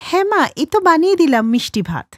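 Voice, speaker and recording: Casting: woman as breezy young bride in a cartoon, high and animated, pert, defensive, emphatic. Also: fast talker, dry recording, studio quality. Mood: innocent. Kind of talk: animated moral-story dialogue.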